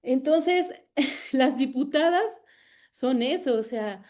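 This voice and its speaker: A woman giving a thoughtful academic talk as an invited researcher, often pausing and repeating words while she searches for them. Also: high voice, animated, darkish tone.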